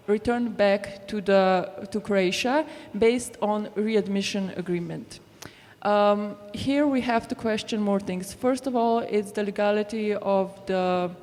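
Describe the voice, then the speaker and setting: Woman doing a conference panel talk, explains stuff step by step, big echoey hall, medium pitch. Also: warm tone. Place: indoor conference venue. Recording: echoey hall with long reverb.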